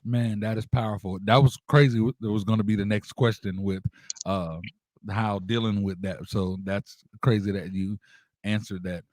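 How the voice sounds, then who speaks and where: A man hosting a casual interview, putting his questions in a halting, informal way. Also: on the low side, fairly even intonation, darkish tone, dry recording. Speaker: radio show host, music producer.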